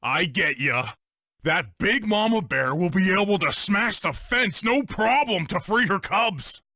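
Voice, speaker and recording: A man's voice: sits high, lively intonation, a little slow, pushed and bright, no echo, studio quality, heavily acted. A man voicing a big, dim, boastful animal strongman in a cartoon video game, with a grandiose, hearty manner. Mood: eager. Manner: grandiose, speaks of himself in third person.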